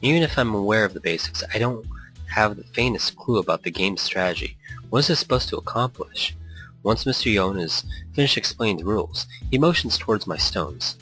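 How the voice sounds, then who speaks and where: Deep, bright-voiced man narrating a game. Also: lively intonation, a little fast, slightly rough, rough recording. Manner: rambling asides. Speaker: let's play host. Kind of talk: casual commentary and reading visual novel text aloud. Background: background music.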